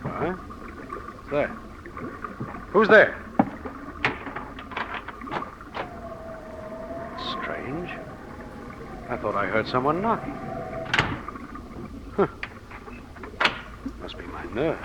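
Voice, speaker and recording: An anxious, earnest man of the sea in a vintage radio thriller. His voice is mid-pitched and theatrical, full of apprehension, under clear recording noise. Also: huge pitch swings, drawn-out words, pauses often, lo-fi sound, heavily acted. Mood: nervous. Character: nervous brave ship's man facing death. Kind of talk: old-time radio drama acting. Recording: noisy old radio recording.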